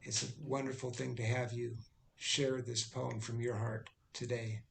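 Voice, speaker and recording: A man hosting an online poetry gathering, introducing a guest in a relaxed way with pauses. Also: mid-range voice, vocal fry, a little hiss or hum. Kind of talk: casual spoken introduction. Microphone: video call.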